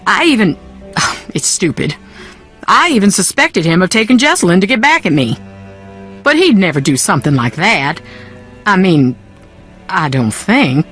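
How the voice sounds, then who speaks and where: A woman's voice: on the low side, sing-song, moderate pace, long pauses, a bit bright, faint music behind. An actress playing a guarded, proud young woman in a video game, with a dry, pointed way of talking. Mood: uneasy. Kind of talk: video game character dialogue.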